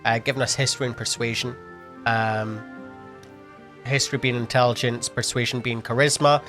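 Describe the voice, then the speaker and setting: A sniffly man of ordinary pitch muses through his gameplay choices, hesitating and filling pauses as he thinks aloud. His casual commentary sits over faint background music. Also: little pitch movement, sharp tone. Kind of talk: casual let's-play commentary.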